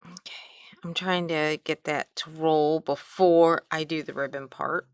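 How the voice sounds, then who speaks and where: A middle-aged woman with a light Midwestern accent talks her way through a crafting tutorial, her voice low and her pace unhurried. She rambles, trails off and picks her thread back up as she works with her hands. The sound is close and dry, with no room behind her. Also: fairly even intonation, clean tone, no echo. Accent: Midwestern American. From American Midwest. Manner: chatty, rambling, trails off mid-sentence. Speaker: craft instructor.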